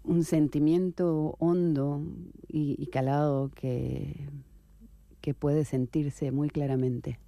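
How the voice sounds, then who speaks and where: A woman writer from Venezuela answering interview questions in a reflective, unhurried way, with soft hesitations and tag questions. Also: sits low, steady tone, creaky, no room sound.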